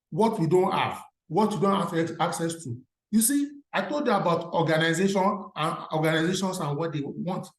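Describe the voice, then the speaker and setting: A speaker with a Nigerian accent, a native Yoruba speaker, giving a motivational career talk to alumni. The delivery is emphatic, and the speaker often throws rhetorical questions at the audience. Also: mid-range voice. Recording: roomy echo.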